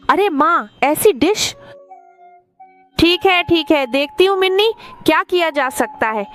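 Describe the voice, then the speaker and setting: A woman's voice: very high-pitched, animated, measured pace, fairly bright tone, soft background music, heavily acted. A woman voicing a fretful, dutiful village mother who explains things in a cartoon story. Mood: exasperated, worried. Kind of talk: Hindi cartoon story dubbing.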